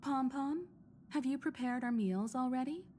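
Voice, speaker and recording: A woman's voice: sits high, normal speed, warm tone, a little hiss or hum, natural voice. An actress playing a kind, composed, elegant woman in a video game, with a polite and caring manner.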